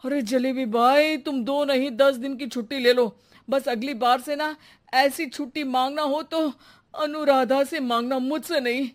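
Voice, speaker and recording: A woman's voice: high voice, steady tone, unhurried. A woman voicing an exasperated husband in a cartoon, fed up and dramatically pleading. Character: exasperated husband, employer of a maddening housemaid. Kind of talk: Hindi cartoon voice acting.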